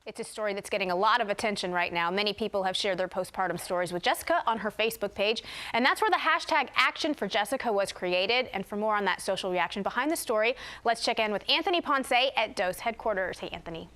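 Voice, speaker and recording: A woman's voice: mid-range voice, expressive, fast talker, few pauses, a bit bright. A woman working as a news anchor, narrating reports and talking with colleagues in a studio.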